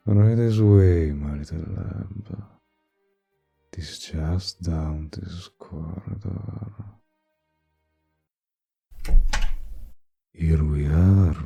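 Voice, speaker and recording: Ancient male vampire played by a man for roleplay audio: very low, heavy gravel, courtly seduction with predatory menace, unhurried. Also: mellow, dull audio, exaggerated voice. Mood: charming, courtly. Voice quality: deep, gravelly, breathy, dropping into whispers. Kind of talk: intimate roleplay audio drama.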